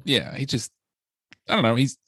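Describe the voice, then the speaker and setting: A man's voice: medium pitch, animated, moderate pace, a bit bright, slightly rough, dry recording, studio quality. Rambling, hesitant man telling a story. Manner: rambling, hesitant, many fillers and restarts. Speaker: podcast co-host. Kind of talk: casual storytelling chat.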